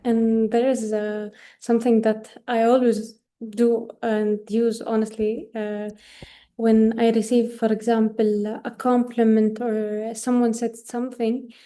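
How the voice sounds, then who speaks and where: A woman's voice: on the high side, flat delivery, slow, warm tone. A woman giving a screen-share demo on a video call. She talks hesitantly, with many fillers, and slips in Arabic words. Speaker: workshop presenter.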